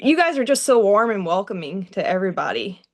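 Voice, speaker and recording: A woman's voice: mid-range voice, sing-song, quick pace. A woman chatting casually as part of a group conversation.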